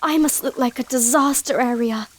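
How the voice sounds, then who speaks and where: A mischievous young sea pony mare played by a woman from a storybook romance. She is girlish and playful, with an extremely high, graceful voice. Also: normal speed. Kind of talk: dramatic reading of a fan story.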